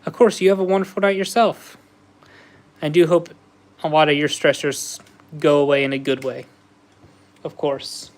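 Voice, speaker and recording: A man's voice: on the high side, little pitch movement, moderate pace, long pauses. A man chatting casually with viewers while building a Lego set on a livestream, easygoing and laughing often. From USA. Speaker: livestream host.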